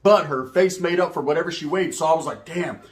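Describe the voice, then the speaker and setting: An American man with a notably high-pitched voice tells a risqué comic story. He talks casually and with plenty of slang, acting out conversations as he goes and regularly checking that the listener follows. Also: brisk. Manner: slangy, animated, acts out dialogue, checks in with "right?". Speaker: storyteller, channel host. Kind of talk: casual bawdy comedic storytelling.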